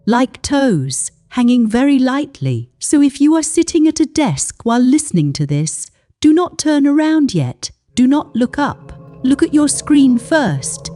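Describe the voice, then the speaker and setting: Woman telling a creepy story, suspenseful, soft dark tone, pitch moves around a lot. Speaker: horror story narrator. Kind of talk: scripted horror narration.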